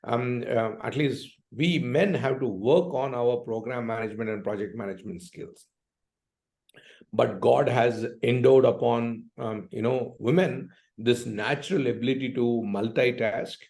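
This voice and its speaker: A man with a South Indian accent answering as an interview guest, conversational, with halting phrasing and frequent check-in tags. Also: mid-range voice, gravelly, darkish tone. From Hyderabad, Deccan region of India. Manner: hesitant phrasing, frequently tags sentences with "right?". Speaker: entrepreneur and investor, interview guest.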